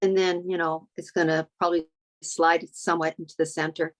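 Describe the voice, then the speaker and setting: A woman's voice: on the low side, flat delivery, fairly quick. Chatty woman giving step-by-step advice. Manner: friendly, gives step-by-step advice with many fillers. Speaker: co-host guiding the painter. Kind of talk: casual chat.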